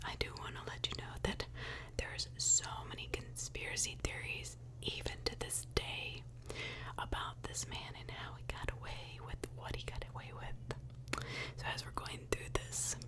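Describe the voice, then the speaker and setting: A woman speaking in a hushed, breathy whisper, telling true crime ASMR style in an informal, emphatic way from inside a small closet. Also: moderate pace.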